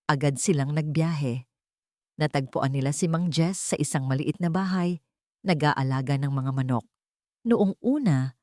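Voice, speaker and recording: A woman's voice: deep voice, a little mellow, no room sound, studio quality. A woman narrating a scripted love story in an emotive, dramatic storytelling manner.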